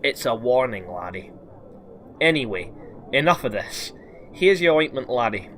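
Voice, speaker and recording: A man's voice: mid-range voice, very animated, a little slow, fairly bright tone, a little hiss or hum. A man playing a blunt, sweary but caring dwarf doctor with a Scottish accent in a fantasy audio drama. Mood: stern, kindly.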